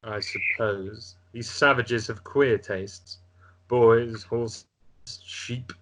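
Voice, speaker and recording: A man's voice: mid-range voice, steady tone, very slow, background noise. A man playing an ambitious, scornful exiled prince in an amateur script table read, speaking with arrogant disdain. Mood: disdainful.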